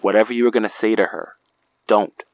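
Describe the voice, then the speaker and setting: A man's voice: fairly low pitch, fairly quick, gravelly, no echo, poor audio. A man playing a wary, level-headed detective in a horror audio drama, speaking in a blunt, understated way. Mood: blunt warning.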